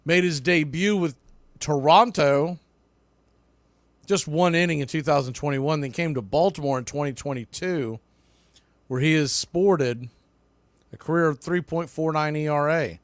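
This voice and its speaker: A man's voice: on the high side, measured pace, long pauses, no room sound. A man calling baseball play-by-play live in radio style, with clipped calls that swell on the action.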